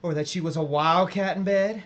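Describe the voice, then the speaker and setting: Male actor as tormented captive, higher-pitched man, guilty, desperate, pleading, strained, audio drama. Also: fairly even intonation, moderate pace, fairly bright tone. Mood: desperate, pained.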